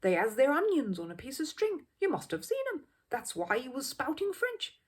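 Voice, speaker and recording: A woman's voice: high-pitched, huge pitch swings, normal speed, husky, pitched above the performer's own voice, heavily acted. A woman voicing an opinionated working-class man in a street crowd for a storybook, with emphatic, colloquial, ungrammatical speech. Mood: knowing, emphatic.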